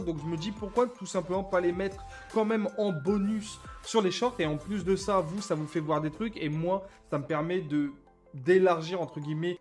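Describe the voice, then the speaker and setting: Higher-pitched guy vlogging over music. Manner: informal, conversational, addresses viewers as mates. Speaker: small YouTuber, vlogger. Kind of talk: casual vlog talk to subscribers, commenting on channel stats.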